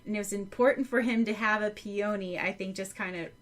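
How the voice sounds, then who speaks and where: A woman's voice: mid-range voice, steady tone, a little fast. An American woman co-hosting a podcast, chatting casually in an agreeable, earnest way. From United States. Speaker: podcast co-host. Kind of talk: casual chat.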